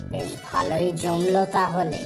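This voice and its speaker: A man voicing a genial older father-in-law in a family cartoon, talking in an unhurried manner. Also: sits high, level tone, music in the background. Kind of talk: cartoon voice acting.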